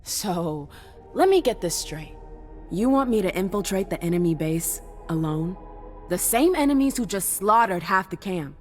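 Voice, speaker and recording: A woman's voice: mid-range voice, lively intonation, normal speed, faint music behind, natural voice. An actress playing a wary, defiant female vampire agent in a video game, guarded and skeptical in her delivery. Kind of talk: dramatic video game acting.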